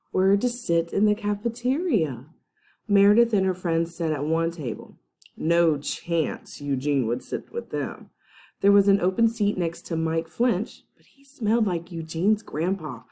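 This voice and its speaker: A woman's voice: on the low side, mellow. A woman teacher reading a children's chapter book aloud, with chatty asides to young listeners. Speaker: first grade teacher.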